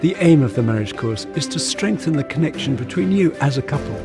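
Male promo narrator, a touch high in pitch, scripted read, music underneath. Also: expressive, a little fast.

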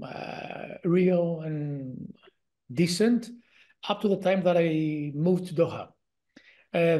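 A man's voice: fairly high pitch, darkish tone. A man in his forties from Greece with a strong Greek accent, a guest on an interview, talking in a hesitant way with many fillers and restarts over a video call. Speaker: guest researcher. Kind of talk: casual interview talk. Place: home office with shelves.

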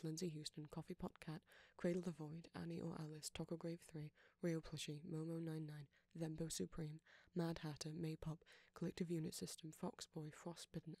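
A woman's voice: low voice, a little mellow, dry recording. A woman reading out a long list of credits, name after name, as an audio creator thanking supporters.

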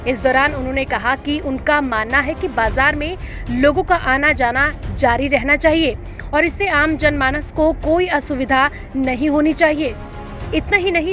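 Woman doing a news voice-over, high voice, formal steady read, faint music under it. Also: moderate pace. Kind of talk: scripted news narration.

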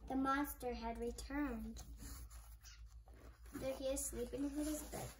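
A child reading a picture book aloud, halting and repeating words, adding little comments along the way. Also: high-pitched, fairly even intonation, background noise. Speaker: storybook reader, channel host.

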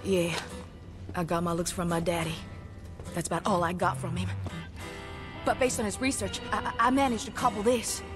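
An actress playing a brash, excitable young woman gunsmith in a video game cutscene, with a sassy manner. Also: lowish voice, moderate pace, long pauses, music in the background, close to an everyday voice. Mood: awkward, eager. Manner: sassy, stammering when starstruck.

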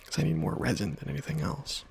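A man softly murmuring and whispering ASMR gameplay commentary, musing to himself in a hushed, breathy way close to the microphone. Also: fairly low pitch, sing-song, fast, a little hiss or hum. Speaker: gaming video host.

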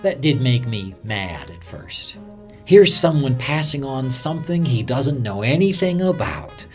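Man playing a lively radio newsman reminiscing over music. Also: mid-range voice, measured pace. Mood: indignant, reflective. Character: male radio newsman recalling his career, earnest, indignant. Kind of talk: dramatised first-person recollection.

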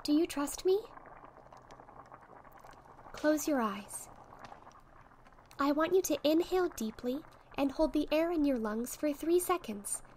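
Girl doing a soft patient witch friend for asmr roleplay, high voice, slow talking, encouraging, faint ambience behind. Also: animated, slight background noise. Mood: calm, encouraging. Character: gentle encouraging young witch friend helping with potions. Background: fizzing, bubbling sound effects.

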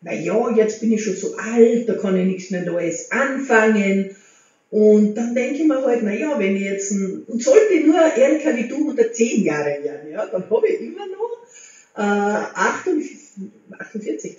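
A woman's voice: fairly high pitch, very animated, normal speed. A woman, chatting to camera at home as a motivational vlogger and gesturing as she talks. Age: 60s. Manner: chatty, gestures with hands, warm encouraging tone. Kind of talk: casual talk to camera. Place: living room at home. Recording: very echoey room, slightly dull sound.

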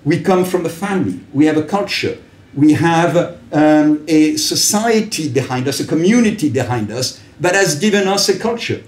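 An older man from Paris with a French accent, a native French speaker giving a live talk in an emphatic, deliberate, rhetorical way with pauses. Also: a little high, lively intonation. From Paris, France. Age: older, about 70s. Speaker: public speaker, lecturer. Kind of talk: live political talk to a small audience. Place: indoors, small wallpapered room with an open view to a window. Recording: roomy echo from camera distance.

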